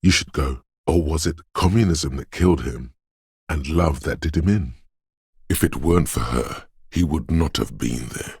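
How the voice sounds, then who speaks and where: An actor voicing the eerie, melancholic inner voice of a detective's intuition in a video game, husky, poetic and ominous. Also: male voice, rumbling low, darkish tone, no room sound, studio quality, exaggerated voice. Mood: somber, foreboding. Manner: ominous, poetic, deliberate delivery. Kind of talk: video game voice acting, second-person dramatic narration.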